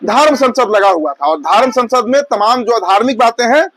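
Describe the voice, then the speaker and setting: A male news presenter with a strikingly high voice for a man. He speaks conversationally, explaining each item to the audience and restating phrases as he goes. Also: animated, normal speed, fairly bright tone, a little hiss or hum. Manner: conversational, explanatory, repeats and rephrases points. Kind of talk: informal news commentary addressed to viewers.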